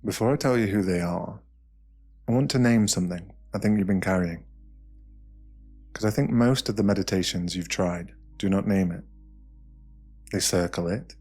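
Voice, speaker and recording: A British man narrating an ASMR guided meditation in a slow, hushed, soothing way, with short phrases and pauses. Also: sits low, husky.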